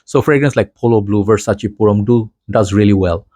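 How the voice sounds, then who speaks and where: A man from Bangladesh talks casually about fragrances, rambling from one point to the next and tossing in "you know" and little laughs. His voice sits fairly low with a soft, mellow tone. The sound is dry and close, with no room around it. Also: brisk, no room sound. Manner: frequent fillers. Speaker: fragrance reviewer. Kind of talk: casual chat to camera. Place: home in Dhaka.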